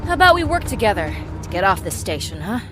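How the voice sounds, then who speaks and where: A woman's voice: medium pitch, sing-song, fast talker, lightly acted. A woman playing a tough, sardonic prisoner in an audio drama, blunt and sarcastic, with music behind her. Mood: defiant, urgent. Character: tough, sardonic female prisoner in a deadly game. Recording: music under the voice.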